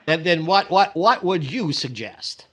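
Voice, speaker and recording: A man's voice: fairly high pitch, expressive, heavy background noise. A man playing a vain, thrifty, flustered middle-aged comedian who stammers indignantly in old-time radio comedy style. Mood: puzzled. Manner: stammering, indignant, drawn-out delivery.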